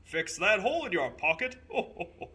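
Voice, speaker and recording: Man putting on a booming, jolly old Santa Claus. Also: big pitch swings, moderate pace, pushed and bright, husky, exaggerated voice. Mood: merry. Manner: booming ho-ho-ho belly laugh, exuberant.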